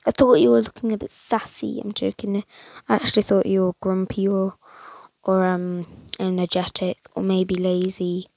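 A young woman chatting casually over a mobile game, rambling with lots of fillers, her voice a little croaky today. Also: mid-range voice, flat delivery, moderate pace, a little mellow, no room sound. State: slightly croaky voice. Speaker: gaming channel host. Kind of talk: casual gameplay commentary.

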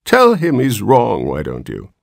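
A man's voice: mid-range voice, wildly swooping pitch, a little slow, vocal fry, dry recording, studio quality, pitched above the performer's own voice, heavily acted. A man voicing a querulous man of seventy from a storybook, in a cracked, weak, whining old voice. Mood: weary complaint. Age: 70s.

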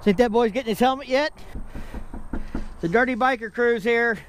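Middle-aged man, folksy and joking, highish voice, outdoors on a motorcycle, loud wind noise. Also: moderate pace. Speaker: motovlogger. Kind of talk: casual vlog chat. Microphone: helmet-mounted action camera.

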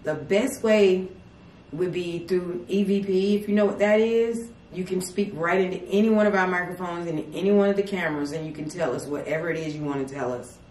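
Calm low-voiced woman questioning spirits. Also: a little hiss or hum. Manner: slow, calm, polite questioning. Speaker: paranormal investigator. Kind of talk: spirit communication session. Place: dim salon at night. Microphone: far camera. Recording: echoey room from far off.

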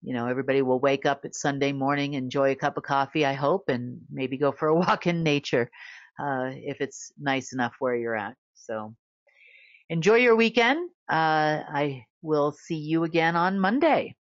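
A woman's voice: deep voice, moderate pace, no room sound, muffled recording. A middle-aged woman talking to camera in a studio, rambling and easygoing, with many fillers and small laughs. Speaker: guest reporter. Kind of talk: unscripted monologue to camera. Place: studio with plain backdrop.

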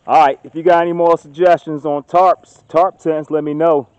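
A man in his thirties talking casually to a handheld phone camera outdoors in the woods, getting loud and excited as he shows off his work. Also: fairly high pitch, normal speed, smooth, no room sound. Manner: chatty, gets loud and excited showing off. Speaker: outdoors vlogger. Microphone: phone camera held at arm's length. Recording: dull lo-fi phone audio outdoors.

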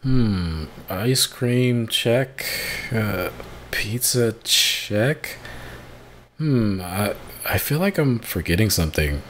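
A man's voice: animated, very slow, fairly bright tone, a little hiss or hum. A man at home practicing voice acting, reading the same short lines over and over in different emotions, often stammering and restarting. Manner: stammering restarts, swinging pitch between takes. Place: home room. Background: dogs, keyboard clicks, chat pings.

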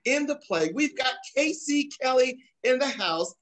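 A man's voice: very high-pitched, lively intonation, a little slow, fairly bright tone. A middle-aged man hosting a casual interview show over a video call from home, speaking in an encouraging, advice-giving way. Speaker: talk show host. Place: small room at home, indoors.

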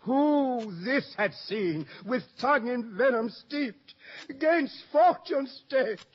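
A man's voice: very high-pitched, expressive, slow pace, slightly rough, exaggerated voice. A man playing a travelling player who recites tragic verse in declamatory 1950s radio Shakespearean style. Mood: tragic, declaiming. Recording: muffled 1950s radio broadcast recording.

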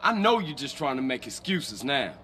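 A man's voice: medium pitch, very animated, normal speed, sharp tone, a little hiss or hum. A man playing a young, cocky, wisecracking American street gangster in a video game cutscene, talking in brash slang. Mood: joking, skeptical.

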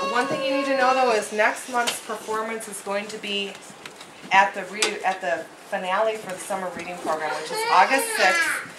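A woman leading a group program, giving informal announcements indoors, picked up by a distant camera microphone. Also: medium pitch. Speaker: library program leader and organizer. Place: indoor library meeting room. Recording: echoey room, loud background noise.

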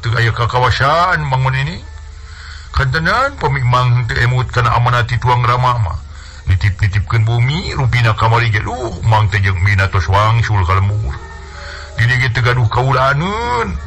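A male storyteller playing a long-winded village uncle who lies smoothly to his niece. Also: mid-range voice, animated, moderate pace, fairly bright tone, faint music behind, natural voice. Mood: wheedling. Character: lying middle-aged village uncle, smooth-talking.

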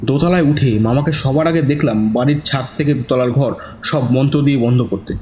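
A man's voice: medium pitch, a little fast, a little mellow, slight background noise, close to an everyday voice. A man playing a devoted young nephew who narrates a horror audio drama in a dramatic, scripted style. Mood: tense narration. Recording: dull lo-fi, music behind.

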